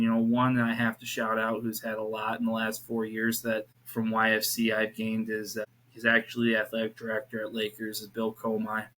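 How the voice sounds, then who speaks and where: A man's voice: lowish voice, a bit bright, poor audio. A man in his thirties, a youth pastor, talking earnestly as a podcast guest and rambling with many restarts. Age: 30s. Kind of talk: casual faith conversation.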